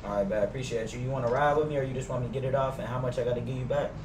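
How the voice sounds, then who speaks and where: A man's voice: mid-range voice, steady tone, very fast, a loud crowd. A young American man chatting casually in slang while streaming a game. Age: young adult. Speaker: game streamer roleplaying in a crime game. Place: room at home, indoors. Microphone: gaming headset. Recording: noisy, echoey, slightly distant game stream. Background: game car and ambience noise.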